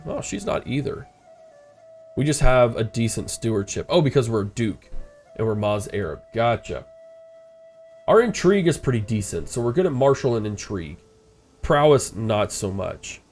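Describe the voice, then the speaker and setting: A man's voice: medium pitch, darkish tone. A congested man with a stuffed-up nose, casually commenting as he plays a strategy game and thinking aloud. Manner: rambling, thinking aloud, frequent uh fillers. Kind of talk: casual let's-play commentary.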